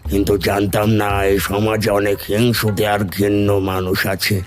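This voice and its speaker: A man voicing a wise old holy hermit in an animated fairy tale, measured and reassuring. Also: on the low side, faint music behind, lightly acted. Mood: grave, knowing.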